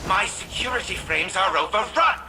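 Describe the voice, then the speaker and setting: An actor playing a demanding, haughty artificial mind in a video game, speaking in curt commands. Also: male voice, high voice, expressive, normal speed, slight background noise, exaggerated voice. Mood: indignant. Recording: very loud music over the voice.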